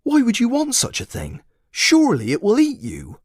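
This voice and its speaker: A man's voice: very high voice, wildly swooping pitch, normal speed, no room sound, studio quality, pitched above the performer's own voice, full character voice. A man voicing frightened, hostile villagers in a storybook tale. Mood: incredulous. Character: frightened, hostile village farmers shouting at a monster.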